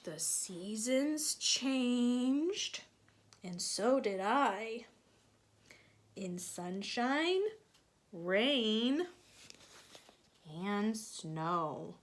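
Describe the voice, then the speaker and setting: A woman's voice: a little high, lively intonation, very slow, pauses often. A woman teacher reading a picture book aloud to young children, stopping to explain things and ask them questions.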